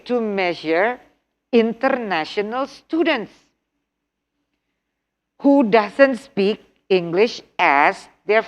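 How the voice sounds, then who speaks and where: A middle-aged woman with an Indonesian accent leads an online test-prep class at an unhurried pace. She mixes English and Indonesian, drills key words by repeating them and keeps asking her students questions. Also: mid-range voice. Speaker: TOEFL instructor. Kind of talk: online workshop lesson.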